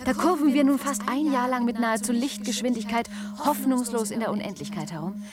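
An actress playing a spirited but weary woman astronaut in a science-fiction radio play, emotional and halting. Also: a little high, heavy background noise, close to an everyday voice. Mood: incredulous, upset.

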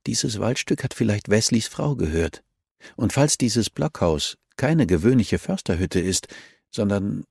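A man's voice: lowish voice, animated, measured pace, gravelly, darkish tone, slightly rough, no echo, clean studio sound, full character voice. A man voicing a polite, matter-of-fact young woman investigator in an audiobook. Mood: thoughtful. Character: young female investigator, polite, businesslike, determined. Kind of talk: audiobook character dialogue.

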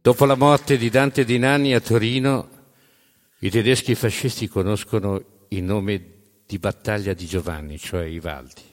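A man narrating live in a theatrical storytelling style, with dramatic, emphatic phrasing that swings between loud and hushed. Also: fairly low pitch, steady tone, normal speed. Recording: long hall reverb.

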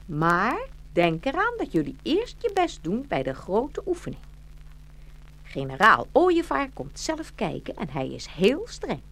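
A woman playing a motherly stork in a children's fairy-tale radio play, brisk and animated, scolding and coaxing by turns. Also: medium pitch, heavily acted. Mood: coaxing, playful.

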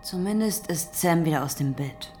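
An actress playing a worried, wary neighbour in a radio drama, talking quietly and confidingly, sympathetic yet probing. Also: female voice, on the low side, moderate pace, faint music behind, natural voice. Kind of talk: naturalistic radio drama dialogue.

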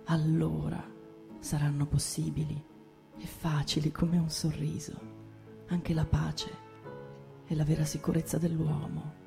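A woman reads literature in a subdued, measured way. The voice is mellow and gravelly with little rise or fall, over light guitar music. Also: low-pitched, little pitch movement. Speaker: actor reading literary quotations. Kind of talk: slow poetic literary reading.

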